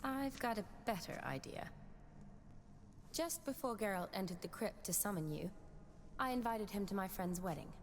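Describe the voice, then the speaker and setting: An actress playing an amused, gently teasing young woman in a video game, polite and light in manner. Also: medium pitch, animated, normal speed, pauses often, close to an everyday voice. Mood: friendly, playful. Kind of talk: video game character acting. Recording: long echoing reverb, faint noise.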